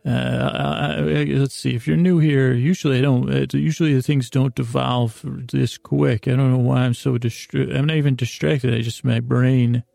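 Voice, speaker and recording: A man with a mid-range voice full of heavy vocal fry speaks in a soothing bedtime-podcast monologue. He wanders and stammers, restarting phrases and interrupting himself. The sound is dry, with no room around it. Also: medium pitch, steady tone, mellow, no room sound. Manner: halting, meandering, with repeated stammered words and self-interruptions. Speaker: host of a bedtime-story podcast.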